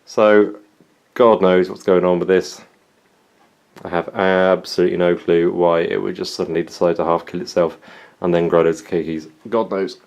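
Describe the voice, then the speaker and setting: A man's voice: low-pitched, level tone, normal speed, gravelly, a little mellow. A young man with an English accent, from England, chatting casually and poking fun at himself in a plant vlog. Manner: self-mocking, dry humor. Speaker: plant vlogger.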